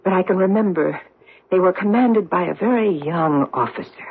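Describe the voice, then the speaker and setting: An actress in an old-time radio drama playing a vengeful survivor confronting her enemy with deliberate, accusing intensity. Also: on the low side, slightly rough. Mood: bitter, haunted. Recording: muffled vintage radio recording.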